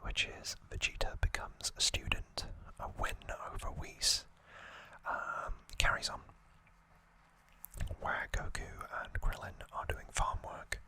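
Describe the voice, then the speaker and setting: An ASMR host speaking in a breathy whisper, giving a soft, unhurried, casual recap with conversational asides.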